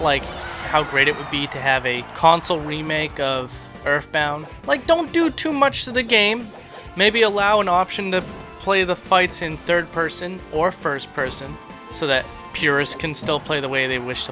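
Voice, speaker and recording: A man hosting a casual, rambling game tour commentary in a chatty, self-deprecating way. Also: on the high side. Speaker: video host. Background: background music.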